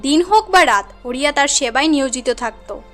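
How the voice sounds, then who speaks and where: A woman's voice: high voice, animated, brisk, fairly bright tone, soft background music. A woman reading scripted storybook narration for a cartoon tale.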